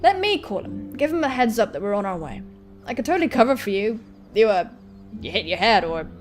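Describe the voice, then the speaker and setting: A woman voicing a blunt, rattled young punk woman in a visual novel, slangy and sweary with halting phrasing. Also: mid-range voice, very animated, faint music behind, close to an everyday voice. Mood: hesitant, eager.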